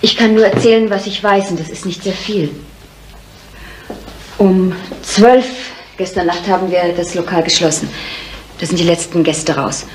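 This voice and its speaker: An actress as a curt, low-voiced innkeeper's daughter. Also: female voice, fairly even intonation, moderate pace, a little hiss or hum, lightly acted, low-quality recording. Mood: sober, bitter. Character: innkeeper's embittered, matter-of-fact grown daughter. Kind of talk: 1970s German radio crime drama acting.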